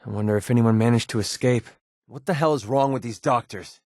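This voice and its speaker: An actor playing a wry, guarded young American mercenary companion in a video game, talking in a sardonic, conversational way. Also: male voice, mid-range voice, fairly quick, darkish tone, no room sound, studio quality, natural voice. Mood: wary, wry. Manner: sardonic, conversational, hesitant asides.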